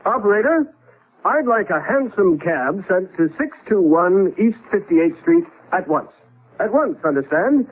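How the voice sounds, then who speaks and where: A good-humored husband from a 1970s radio mystery. His voice sits a little high and swings widely as he jokes and reasons. The sound is narrow and lo-fi, like an old radio broadcast. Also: very animated, unhurried, breathy edge, heavily acted. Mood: playful. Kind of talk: 1970s radio drama acting. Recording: band-limited vintage radio recording.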